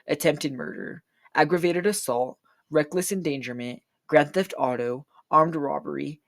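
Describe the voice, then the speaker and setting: Male true crime narrator reading a script steadily, gravelly and a little high, fighting off a cold. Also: no room sound. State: feels he is losing his voice and getting sick. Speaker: true crime video creator. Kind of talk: read true crime narration with a casual outro.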